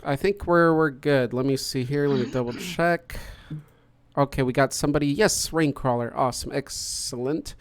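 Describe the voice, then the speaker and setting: A man's voice: medium pitch, moderate pace. A man hosting a casual livestream podcast, chatting upbeat while setting things up. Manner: chatty, upbeat, talks through setup. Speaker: podcast host.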